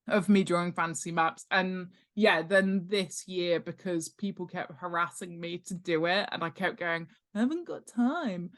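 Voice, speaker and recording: A woman chatting casually as an interview guest, talking in a humorous, self-deprecating way about herself and her work. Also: mid-range voice. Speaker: guest author and world-building expert.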